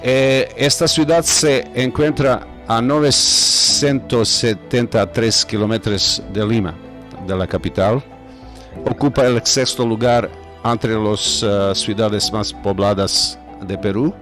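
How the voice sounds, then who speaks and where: A man hosting an interview in a language that is not his first, speaking haltingly with many pauses and fillers. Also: medium pitch, steady tone, fairly bright tone, faint music behind. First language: not Spanish. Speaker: host. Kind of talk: interview podcast. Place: home, video call.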